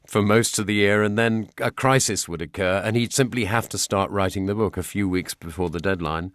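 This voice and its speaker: A man's voice: fairly low pitch, brisk, a bit bright, dry recording, clean studio sound. A man with a British accent reminiscing fluently and vividly in an anecdotal documentary interview.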